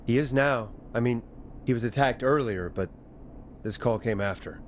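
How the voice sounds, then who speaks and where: An actor playing a radio DJ in a horror game, measured, dry and probing as he questions a caller. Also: medium pitch, slight background noise, natural voice. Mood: concerned, probing. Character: middle-aged radio DJ calmly probing a dark mystery. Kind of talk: dramatic video game voice acting.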